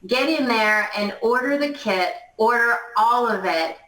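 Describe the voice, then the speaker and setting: A woman's voice: a little high, unhurried, pushed and bright. A woman in her mid fifties giving an unscripted testimonial in a gushing, emphatic, enthusiastic way. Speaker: company distributor giving testimonial. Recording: roomy, echoey, distant mic.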